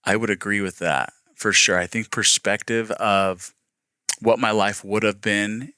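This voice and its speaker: Young guy from peru, low voice, kinda punchy, frank podcast guest. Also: fairly even intonation, a little slow, bright, forceful tone, clear, resonant tone, no echo, studio quality. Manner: frank, opinionated, chuckles often. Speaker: podcast guest, real estate agent. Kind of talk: casual chat.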